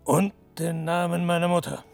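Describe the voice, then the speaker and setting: A restless king of ancient Persia in an intimate radio play. His voice is heavily creaky and murmuring, anxious yet vain, with music underneath. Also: a little high, flat delivery, moderate pace. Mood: proud, wistful. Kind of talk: intimate radio drama acting.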